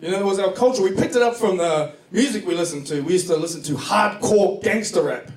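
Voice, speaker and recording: A man's voice: high-pitched, fairly quick. A man with a New Zealand accent performing a stand-up comedy set, telling joking stories with plenty of swearing. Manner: profane, joking storytelling. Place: comedy club stage before a live audience. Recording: distant echoey club recording, noisy laughing crowd.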